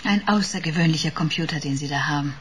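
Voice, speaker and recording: A woman's voice: low voice, fast talker, slight background noise, lightly acted. A woman in her thirties playing a determined, serious client of a detective in a German radio play. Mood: earnest, insistent. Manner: insistent, serious.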